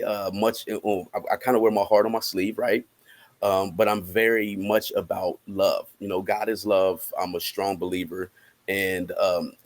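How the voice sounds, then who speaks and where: A man with an American accent speaking casually as an interview guest, halting as he thinks aloud. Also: lowish voice. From Cincinnati, United States. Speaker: interviewed musician and rapper.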